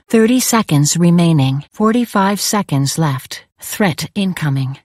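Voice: medium pitch, dry recording, studio quality, natural voice. A performer voicing an impassive synthetic AI announcer for a video game, giving terse, formal status alerts in a detached, robotic way. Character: impassive synthetic AI announcer of a futuristic combat facility.

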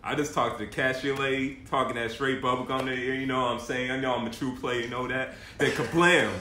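A man's voice: mid-range voice, steady tone, fast talker, bright, forceful tone, faint music behind, lightly acted. A man playing a broke, cocky slacker boyfriend in an amateur comedy table read, slangy and full of boastful excuses. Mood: casual, boastful. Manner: slangy, boastful, self-justifying. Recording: echoey room, faint noise.

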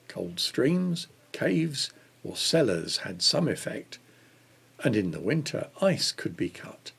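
Older guy in his 60s reading from a church book, measured, raspy n creaky. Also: mid-range voice, sing-song, a bit bright. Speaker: reader of a church Lent devotional. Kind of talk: reading a devotional book aloud.

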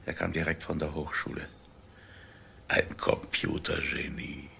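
An actor playing a weary, grieving elderly company owner with a gravelly, husky voice in a crime radio drama. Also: male voice, extremely low pitch, steady tone, a little slow, sharp tone, slight background noise, exaggerated voice. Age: older.

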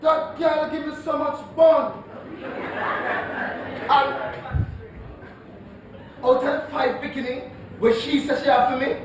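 A man playing an excitable, evasive Jamaican household helper in a comedic stage play, with folksy, rambling, exclamatory talk. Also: very high voice, slow, long pauses, a loud crowd. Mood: comic indignation. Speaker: stage actor. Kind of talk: broad comedic stage acting. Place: indoor theatre stage. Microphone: distant stage pickup. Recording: echoey theatre from far off, noisy.

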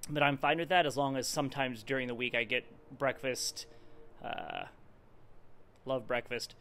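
A man in his forties talking casually and haltingly in a vlog, thinking aloud as he goes. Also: medium pitch, sharp tone, a little hiss or hum. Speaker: fitness vlogger. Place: wooden garage with the door open.

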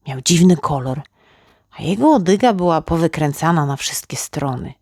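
A woman reading a children's fairy tale as an expressive narrator. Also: sits low, no echo, clean studio sound. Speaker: children's story reader.

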